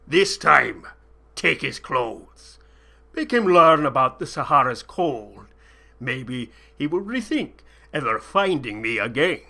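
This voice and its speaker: A man voicing a cruel, scheming male desert sheik with a booming, mocking delivery. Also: very animated, moderate pace, sharp tone. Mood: menacing.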